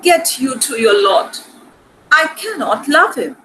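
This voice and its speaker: Woman playing a haughty noble lady, high and animated. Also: takes it slowly, slight background noise, heavily acted, rough recording. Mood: proud, firm. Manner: haughty, arch, theatrical. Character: proud, sharp-witted noble lady in mourning. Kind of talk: dramatic reading of Shakespearean comedy.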